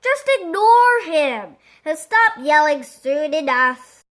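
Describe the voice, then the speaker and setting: A woman's voice: sits high, very animated, fairly bright tone, full character voice. A woman voicing an exasperated, no-nonsense village baker, weary and dismissive, in a children's storybook read-aloud. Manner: weary, dismissive, drawn-out words.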